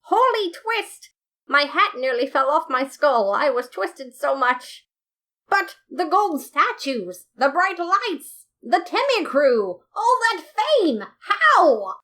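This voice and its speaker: A woman's voice: high-pitched, sing-song, slow pace, sharp tone, voice pushed up, heavily acted. A woman voicing an earnest, boastful male skeleton detective in a storybook reading, theatrical and overly formal. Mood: astonished.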